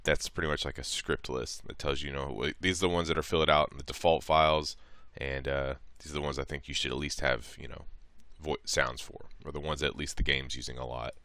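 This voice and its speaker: A man with an extremely deep yet smooth, clear voice presents a software tutorial. He is informal and a little hesitant as he explains each step. The recording is close and dry. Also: steady tone, fairly quick, hardly any pauses, no room sound. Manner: informal, hesitant, thinking aloud.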